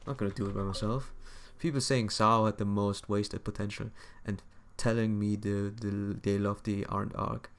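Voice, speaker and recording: A young man livestreaming a game, chatting casually in a halting, rambling way and often repeating his phrases. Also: lowish voice, flat delivery, creaky, fairly bright tone. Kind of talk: casual chat while gaming.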